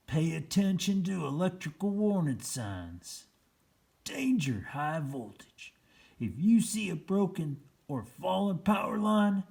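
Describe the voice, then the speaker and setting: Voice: medium pitch, creaky, a little mellow. Çok değişken tonlamalı, ağır tempolu çocuk kitabı okuyucusu. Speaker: children's story reader. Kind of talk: children's picture book read-aloud.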